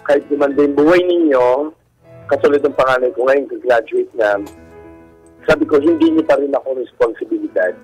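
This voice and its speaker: A man's voice: medium pitch, moderate pace, a little mellow, slightly rough, poor audio. Middle-aged man, music underneath. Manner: polite, uses respectful markers, mixes in English. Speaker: guest caller, bar comedian. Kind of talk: personal story told in a radio interview. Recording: music bed under radio talk.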